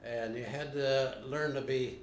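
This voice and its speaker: An older man being interviewed, recalling his past in a plainspoken, unhurried way with frequent pauses. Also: medium pitch, fairly even intonation, a little hiss or hum. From United States. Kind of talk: unscripted recollection. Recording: roomy home video recording.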